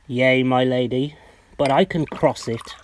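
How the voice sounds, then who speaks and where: A man acting a sly, cunning henchman in a Shakespearean radio play, deliberate and conspiratorial. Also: medium pitch, fairly even intonation, lightly acted. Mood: sly plotting. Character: sly, cunning henchman plotting slander for pay. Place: home recording.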